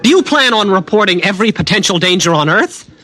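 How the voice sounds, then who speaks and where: A man's voice: high voice, expressive, fast talker, bright, forceful tone. A young man narrating and playing the lead in a 1950s radio drama, wry, skeptical and conversational. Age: young adult. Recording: old radio broadcast with music.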